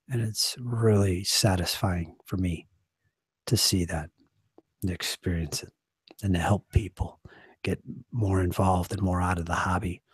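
A hoarse man in his forties, a game master losing his voice, chatting casually and earnestly on a live stream from a small home study into a desk microphone. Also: sits low, dry recording. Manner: rambling, earnest, trailing off quietly. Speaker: game master and channel host.

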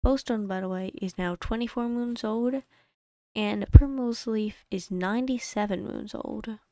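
Woman creator, mid-pitched and smooth, dry close desk mic, chatty rambling voice-over with many restarts. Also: warm tone, no echo. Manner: rambling, self-correcting, quick asides. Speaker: YouTube creator. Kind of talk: casual voice-over narration of a game playthrough. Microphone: home desk mic.

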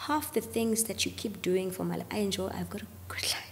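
A woman's voice: mid-range voice, fairly even intonation, a little fast, gravelly, darkish tone, in a large hall, a little hiss or hum. A woman in her thirties, a gospel singer, talking earnestly about faith on a podcast and often dropping to a near-whisper. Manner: earnest, drops to near-whisper on key points. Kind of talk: reflective faith conversation. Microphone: studio mic on boom arm.

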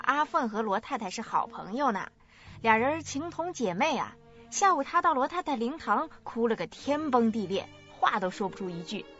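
Actress as a high-voiced gushing chatterbox woman. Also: a bit bright. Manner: effusive, chattering. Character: gossipy, talkative neighbourly woman, gushing with sympathy. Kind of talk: radio drama acting. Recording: dull lo-fi audio, faint music.

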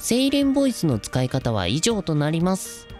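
A man's voice: a little high, huge pitch swings, normal speed, a little mellow. A man of thirty-one with a youthful, feminine-sounding voice, presenting a scripted explainer politely to viewers. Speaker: video host. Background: background music.

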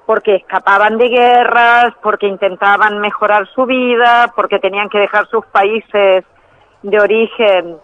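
A woman guest calling in by telephone, explaining ideas in a measured way and sometimes correcting herself mid-phrase. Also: medium pitch, steady tone. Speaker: phone-in guest, trainer in biological decoding. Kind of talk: explanatory interview answers. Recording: tinny phone line.

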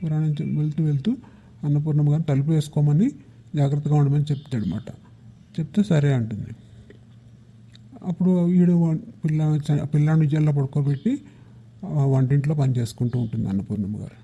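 Muffled lo-fi audio, man, husky, teacherly storytelling, conversational, slightly high pitch. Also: mellow. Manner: conversational, explanatory, addressing young listeners. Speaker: storyteller speaking as a teacher to children. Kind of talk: informal moral storytelling.

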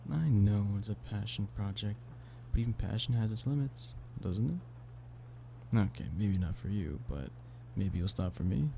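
A man acts out an affectionate boyfriend in an ASMR roleplay, with a lowish voice full of gravelly fry. He coaxes and teases playfully at a slow, leisurely pace. The talk is intimate and pleading, as if to one stubborn partner. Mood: coaxing, casual. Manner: teasing, pleading, intimate one-sided conversation. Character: playful, affectionate human boyfriend coaxing a stubborn cat-person. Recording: steady background noise of rain. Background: rain.

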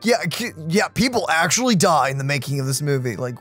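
A man's voice: on the high side, very animated, a little fast, a bit bright. Casual male co-host over game music. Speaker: let's play co-host. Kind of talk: casual gameplay reaction chat.

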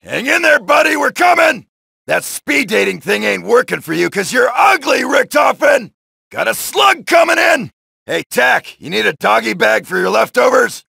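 A gravelly, shouting zombie-killing soldier. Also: male voice, very high-pitched, big pitch swings, normal speed, no echo, full character voice. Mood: taunting. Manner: shouted taunts and one-liners. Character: brash, wisecracking male soldier blasting zombies. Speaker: video game soldier character. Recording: dry studio voice lines.

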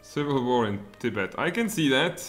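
A man in his thirties with a Czech accent, streaming a strategy game and thinking aloud, with sudden excited outbursts. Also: mid-range voice, normal speed. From Czech Republic. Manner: mutters thoughts aloud, then bursts out excitedly. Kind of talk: live strategy game commentary. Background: faint music and keyboard typing.

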